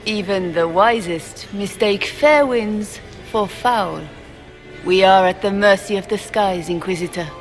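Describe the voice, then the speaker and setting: An actress playing a poised, regal empress with a formal, stately manner in a fantasy video game. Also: female voice, mid-range voice, measured pace, faint music behind, natural voice. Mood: gracious, cool.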